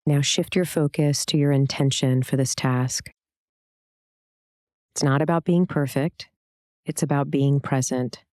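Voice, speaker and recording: A meditation instructor guiding a scripted practice in a calm, soothing, gentle way, leaving long pauses. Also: medium pitch, dry recording, studio quality.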